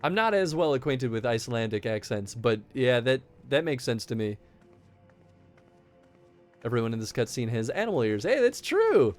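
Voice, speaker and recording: A man's voice: mid-range voice, big pitch swings, moderate pace, faint music behind. A man chatting casually on a live game stream, reacting playfully and laughing often.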